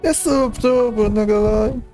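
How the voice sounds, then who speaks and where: A man's voice: very high-pitched, fairly even intonation, a little fast, warm tone, soft background music. A man livestreaming a game, chatting casually and reacting informally with some swearing. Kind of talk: casual gameplay livestream chat.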